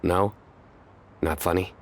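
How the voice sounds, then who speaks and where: A man playing a stern, earnest general in a video game who delivers bad jokes in a solemn, measured deadpan. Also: low voice. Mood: deadpan joking. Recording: faint music behind.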